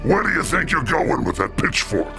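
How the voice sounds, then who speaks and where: An actor playing a brash, blunt shark warrior with a street-tough manner in a video game. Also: male voice, a little high, big pitch swings, moderate pace. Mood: defiant. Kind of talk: video game character acting. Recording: under loud game music.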